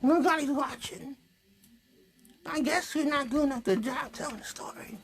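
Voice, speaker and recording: A man's voice: extremely high pitch, moderate pace, gravelly, pitched above the performer's own voice. A man voicing a sassy young girl puppet who talks in a blunt, childlike, hesitant way in an improvised puppet show. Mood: unimpressed.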